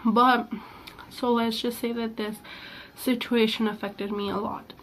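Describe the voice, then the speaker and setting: A young woman vlogging about her personal past, earnest and halting, with a slightly sick-sounding voice. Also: fairly high pitch, level tone, a little hiss or hum, low-quality recording. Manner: hesitant, halting, many fillers and restarts; candid and earnest. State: sick, voice a little off. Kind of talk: personal confessional vlog.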